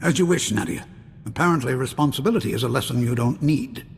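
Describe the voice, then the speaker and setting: An actor playing a stern, protective father and envoy in a video game, with a grave, measured delivery. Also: medium pitch, creaky, long reverb tail, a little hiss or hum, natural voice. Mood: stern, reluctant.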